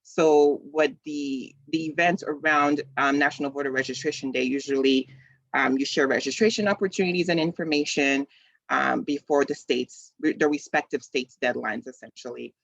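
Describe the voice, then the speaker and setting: A man from the Congo, in his thirties, talks through a community session over a video call, with a gravelly edge to his voice. He speaks casually and conversationally, with frequent ums and false starts. The call audio sounds dry and a bit dull. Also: fairly high pitch, steady tone, moderate pace, fairly bright tone, no room sound. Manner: conversational, many ums and restarts. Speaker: community event host.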